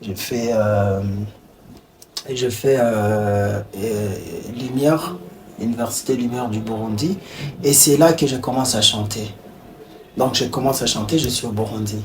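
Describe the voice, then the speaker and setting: A man's voice: mid-range voice, measured pace. A man with a Congolese accent, a musician being interviewed, chatting informally and often repeating his phrases. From Bukavu, DR Congo. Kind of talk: casual chat mixing French and Swahili. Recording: noticeable background noise.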